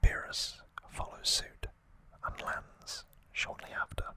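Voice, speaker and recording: An ASMR host whispering a recap of trivia in a breathy, unvoiced voice, with halting, informal asides. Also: sharp tone.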